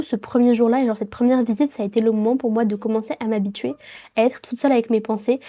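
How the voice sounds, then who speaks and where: A woman's voice: on the high side, mellow. A woman in her late teens with a head cold, chatting casually and rambling a little as she vlogs to camera. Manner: chatty, rambling, frequent fillers and restarts. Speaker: travel vlogger. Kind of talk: casual vlog chat to camera.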